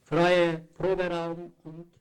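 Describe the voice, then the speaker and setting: Man slowly chanting repeated slogans. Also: fairly high pitch, completely flat delivery, smooth. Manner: repetitive, chant-like.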